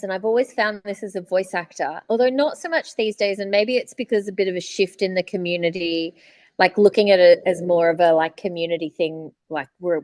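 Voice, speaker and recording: A woman's voice: lowish voice, darkish tone, dry recording. A woman co-hosting a podcast, telling stories in blunt, jokey, slangy casual chat. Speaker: podcast co-host; voice actor.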